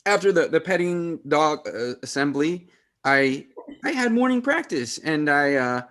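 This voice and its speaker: An awkward, earnest teenage boy acted by a man, stammering through sitcom dialogue. He talks slowly and haltingly, with pitch that swings a lot. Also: big pitch swings, fairly bright tone. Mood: nervous bluffing. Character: awkward, earnest teenage little brother, a hopeless liar. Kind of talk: virtual table read of a sitcom script.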